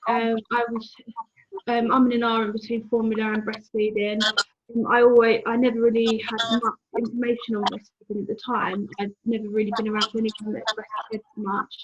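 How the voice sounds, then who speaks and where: A woman's voice: fairly high pitch, a little fast, highs cut off, background noise. A woman in her twenties speaking on a video call as a guest, hesitant and full of ums. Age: 20s. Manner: hesitant, many ums, even tone. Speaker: guest mother. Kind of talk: casual video call chat.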